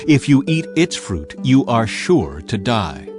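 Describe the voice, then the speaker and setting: A man's voice: medium pitch, very animated, music in the background. Man voicing stern, commanding God of scripture. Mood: solemn. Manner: stern, authoritative, deliberate.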